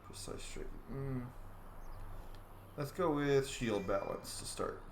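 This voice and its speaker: A man hosting a let's play, commenting casually and excitedly, swearing and humming along as he plays. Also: medium pitch, takes it slowly, a little hiss or hum. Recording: echoey room. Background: game music.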